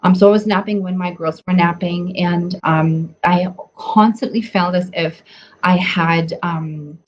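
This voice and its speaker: A woman's voice: lowish voice, fairly even intonation, measured pace. A woman, a nutrition coach talking casually on a livestream, full of ums and restarts. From Germany. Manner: chatty, many ums and restarts. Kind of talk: casual live stream teaching. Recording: slightly dull audio with faint noise.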